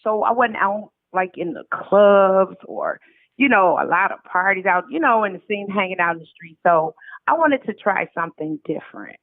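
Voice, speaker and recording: Female interview guest on a narrow phone connection, middle-aged, American accent. Candid, colloquial, emphatic storyteller, medium pitch. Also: expressive, moderate pace, no room sound. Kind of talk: casual conversational storytelling. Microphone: phone call. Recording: narrow, tinny phone line.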